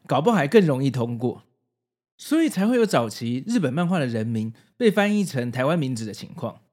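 Very animated Taiwanese Mandarin male podcast host. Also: medium pitch, a little fast. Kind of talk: prepared podcast narration.

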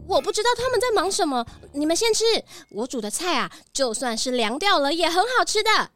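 A woman's voice: high-pitched, big pitch swings, fairly quick, fairly bright tone, faint music behind. A woman playing a busy, proud ship's cook in a children's audio drama, with a bustling manner. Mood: hurried, proud.

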